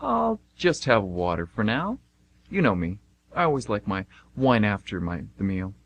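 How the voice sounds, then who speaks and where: A man's voice: lowish voice, big pitch swings, moderate pace, gravelly, exaggerated voice. A man playing a casual but guarded man in his twenties in an amateur radio play.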